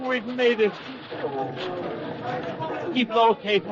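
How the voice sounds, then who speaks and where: A man's voice: very high voice, steady tone, drawn-out words, very noisy, heavily acted. Earnest hunted man, muffled vintage radio. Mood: urgent, desperate. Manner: earnest, dramatic, urgent. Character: hunted, fevered, weakened man, tender and fearful for his lover. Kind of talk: 1940s radio drama acting. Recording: muffled vintage 1940s radio recording with music.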